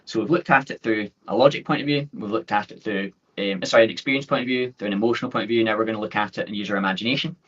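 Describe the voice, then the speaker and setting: A man in his thirties with a thick Scottish accent, giving an upbeat, quick webinar talk full of fillers and restarts. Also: on the low side, fairly bright tone. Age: 30s. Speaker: guest speaker. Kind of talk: webinar presentation. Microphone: video call. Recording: dull lo-fi call audio.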